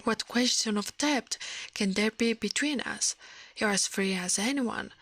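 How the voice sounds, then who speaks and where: A woman voicing a kindly, wondering scholar from a storybook in a soft, breathy voice. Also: a little high, unhurried, no room sound. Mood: warm, curious. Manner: earnest, gentle, wondering. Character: kindly, astonished learned man, older scholar.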